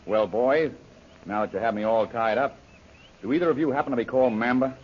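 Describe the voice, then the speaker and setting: A man's voice: medium pitch, fairly quick, close to an everyday voice. Brave, wry adventurer hero male actor, mid-range voice, forthright, vintage radio serial with dull sound. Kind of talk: old-time radio adventure serial acting. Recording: muffled, noisy old radio recording.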